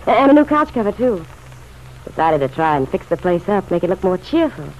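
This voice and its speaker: A woman's voice: fairly low pitch, very animated, a little fast. An actress playing an anxious, world-weary working-class woman in an old radio drama, with pleading, colloquial speech. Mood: hurt, hopeful. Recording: muffled, noisy old radio recording.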